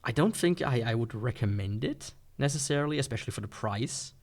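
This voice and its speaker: A man's voice: medium pitch, animated, fairly quick. A man giving a casual, unscripted product review in a rambling, informal, skeptical way. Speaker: gadget reviewer.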